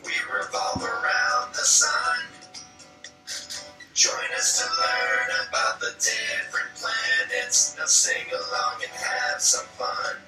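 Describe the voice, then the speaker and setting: A singer delivers an educational children's song at an unhurried pace. The voice sounds distant and echoey, with clear music behind it. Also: medium pitch.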